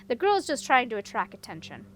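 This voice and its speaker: A woman plays a scheming male dragon from a storybook, all haughty bluster. He is indignant and dismissive, pitched fairly high for his kind, with faint noise behind the voice. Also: big pitch swings, fairly quick, fairly bright tone.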